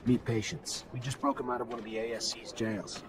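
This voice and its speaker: Resolute young hero voiced by a man, mid-pitched, earnest, plain-spoken, sci-fi game drama with loud music underneath. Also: fairly even intonation, brisk, close to an everyday voice. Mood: practical. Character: determined, idealistic young male warrior leader. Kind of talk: video game cutscene acting.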